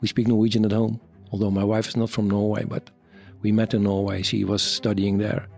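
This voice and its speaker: A Dutch man in his fifties, an army officer answering interview questions in English with a Dutch accent, pausing often to search for words. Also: on the low side, little pitch movement, creaky, a little mellow, faint music behind. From Netherlands. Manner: halting, searching for words, many fillers.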